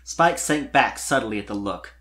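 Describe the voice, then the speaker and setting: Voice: mid-range voice, animated, normal speed, a bit bright. A narrator reading fan fiction aloud as a dramatic scripted story.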